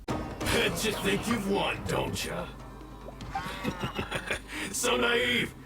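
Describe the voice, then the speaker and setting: An actor playing a scheming, taunting villain boss in a video game, with a creaky, gravelly voice. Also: male voice, sits high, expressive, very slow, full character voice. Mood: smug gloating. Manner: gloating, taunting, theatrical. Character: smug scheming male villain boss threatening to blow up hideout. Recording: roomy echo, distant, under music.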